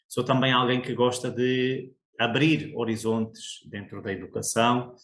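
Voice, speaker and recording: A middle-aged man from Portugal speaks with a European Portuguese accent, at a mid pitch. He talks in measured, reflective phrases as a podcast guest. His voice carries a roomy echo. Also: fairly bright tone. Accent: European Portuguese, Coimbra. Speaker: guest, school coordinator. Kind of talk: conversational podcast interview.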